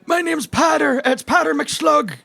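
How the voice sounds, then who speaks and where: A chatty small-town barman with a Northern Irish accent. The voice is extremely high for a male, folksy and exclamatory, recorded close and dry in a comedy role-play. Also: unhurried, gravelly, bright, forceful tone, no room sound, full character voice. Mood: matter-of-fact.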